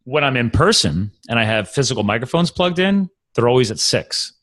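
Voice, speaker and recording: A man's voice: mid-range voice, big pitch swings, fairly quick, fairly bright tone. A man hosting a podcast, chatting casually and rambling about recording equipment. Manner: chatty, rambling, talks with many restarts. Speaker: podcast host.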